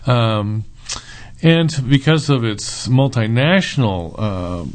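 A middle-aged man, a seminary professor, talks as a guest in a relaxed interview, pausing often to think. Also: medium pitch, slight background noise. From United States. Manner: thoughtful, with frequent "uh" and "um" pauses.

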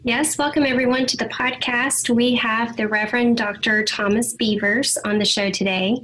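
Woman, podcast co-host, friendly, hesitant fillers, slightly high voice. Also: steady tone, moderate pace, a bit bright, slight background noise, rough recording. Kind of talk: interview questions.

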